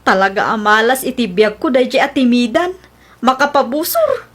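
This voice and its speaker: A woman's voice: a little high, lively intonation, normal speed, fairly bright tone. A woman doing a plaintive young daughter. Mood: downcast. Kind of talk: radio drama acting.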